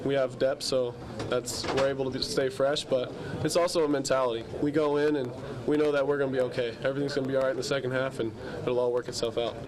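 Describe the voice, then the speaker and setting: A man's voice: mid-range voice, level tone, quick pace, rough recording. A young man, a college football player, talking casually in a post-game interview. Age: young adult. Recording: crowd chatter around interview.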